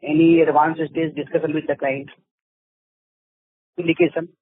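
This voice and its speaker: Male analyst caller, monotone questioning, dry and narrow telephone sound. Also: a little high, moderate pace, breathy edge, dry recording. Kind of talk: earnings call questioning. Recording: dry, tinny handset phone line.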